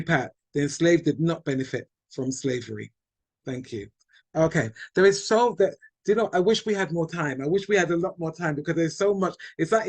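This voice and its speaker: A man hosting a church webinar over a video call. His voice is slightly high and sounds dry and close, and he thanks contributors often, circling and repeating words while he thinks aloud. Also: dry recording. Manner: warm, repetitive, thanking, hesitant. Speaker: webinar chair. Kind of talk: chairing an online discussion.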